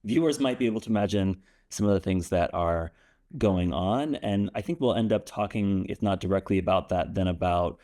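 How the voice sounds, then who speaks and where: A man hosting an interview, asking questions in a relaxed, conversational way. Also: low-pitched, moderate pace. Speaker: interview host. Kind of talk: casual interview talk.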